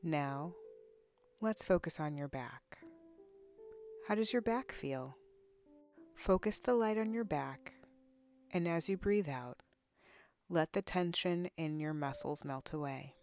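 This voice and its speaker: A woman leading a guided body scan meditation in a soothing, unhurried, instructional way with long pauses. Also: low-pitched, darkish tone, soft background music. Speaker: guided relaxation narrator, counselor.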